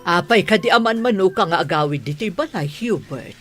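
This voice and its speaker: A woman with an Ilocano accent voicing a domineering, indignant mother in a radio drama, husky and scolding. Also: on the low side, animated, fairly quick, music in the background, lightly acted. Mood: reproachful. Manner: scolding, emphatic.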